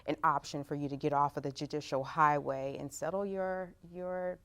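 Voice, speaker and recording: A woman giving measured, articulate explanations as a guest in a panel interview. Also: low voice, fairly even intonation, fairly bright tone, clear, resonant tone.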